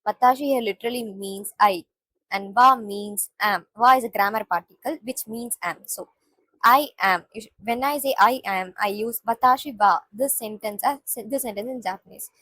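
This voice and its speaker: A woman's voice: fairly high pitch, a bit bright, husky, no room sound, low-quality recording. A woman from India, teaching a beginner Japanese lesson, repeating each phrase and explaining word by word. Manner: repetitive, explains each word slowly with many "so" restarts.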